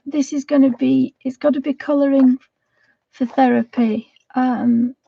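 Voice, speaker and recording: Croaky soft-spoken woman, dull lo-fi stream. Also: high voice, steady tone, measured pace, dry recording. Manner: soft, rambling, often half-whispered. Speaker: livestream host. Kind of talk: casual chat while colouring.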